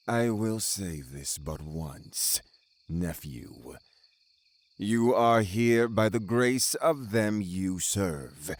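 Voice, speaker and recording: An actor playing a stern, pious older Puritan magistrate in an audio drama, speaking gravely and with command. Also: male voice, on the low side, animated, very slow, dry recording. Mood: grave, menacing.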